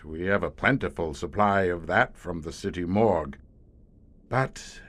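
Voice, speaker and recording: A male actor plays a grim veteran hunter of monsters in an audio horror drama. His delivery is deliberate and slow, yet it swings widely in pitch. He speaks in ornate, haunted phrases with a dry, wry edge.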